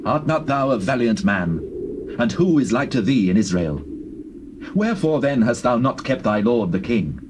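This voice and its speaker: An actor playing an earnest, righteous young warrior in a dramatized scripture, with solemn, measured declamation. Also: male voice, mid-range voice, lively intonation, close to an everyday voice. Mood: reproachful. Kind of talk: classical dramatized scripture acting. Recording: hissy old cassette tape.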